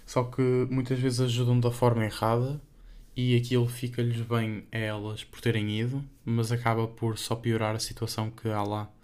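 Young adult male podcast co-host, European Portuguese accent, mid-pitched, loose and conversational, feeling his way through sentences with fillers. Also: monotone, moderate pace, darkish tone. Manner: hesitant, rambling, filler-heavy.

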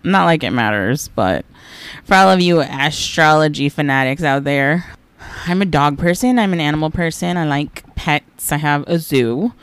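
Low, smooth-voiced woman in her thirties. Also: expressive, moderate pace, no room sound. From Los Angeles, California. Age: 30s. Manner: upbeat, chatty, laughs easily. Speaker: podcast co-host. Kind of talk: casual chat.

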